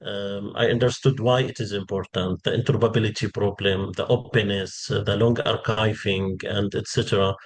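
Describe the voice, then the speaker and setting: Male interview guest, forties, Syrian Arabic accent, lowish register, explanatory, searches for phrasing with repeated words. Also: steady tone, fairly quick, few pauses. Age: 40s. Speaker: interview guest, engineering expert.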